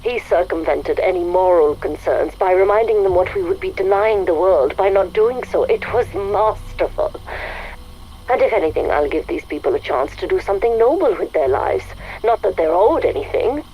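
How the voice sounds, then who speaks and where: A woman playing an arrogant, coldly ambitious scientist dictating a game audio log, measured, self-satisfied and contemptuous. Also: lowish voice, expressive, dark tone, natural voice, rough recording. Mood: smug resolve. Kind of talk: scripted audio log monologue. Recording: faint noise, recorded audio log.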